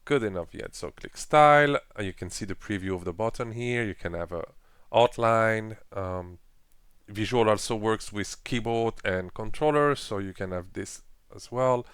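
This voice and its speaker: A man presenting a casual software tutorial with phrasing and frequent fillers, explaining each step while clicking through an editor. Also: lowish voice, normal speed, clean tone, no room sound, clean studio sound. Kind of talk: screen-recorded software walkthrough.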